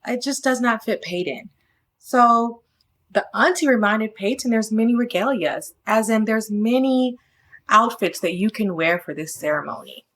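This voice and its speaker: A woman's voice: fairly high pitch, moderate pace, a bit bright. A woman reading a children's story aloud, with friendly, casual asides that explain it. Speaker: storybook reader.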